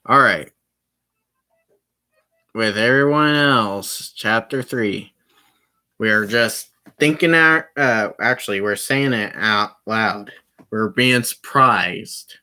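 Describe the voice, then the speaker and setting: Bright, forceful voice of an unhurried game streamer. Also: medium pitch. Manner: informal, sweary. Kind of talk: casual live stream, reading visual novel dialogue aloud.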